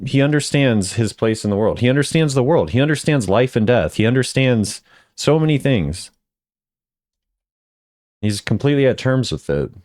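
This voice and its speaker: A man's voice: medium pitch, expressive, normal speed, a little mellow, no room sound, studio quality. A man giving casual chatty commentary as a video creator, often repeating phrases for emphasis. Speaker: video creator ranking game voice lines.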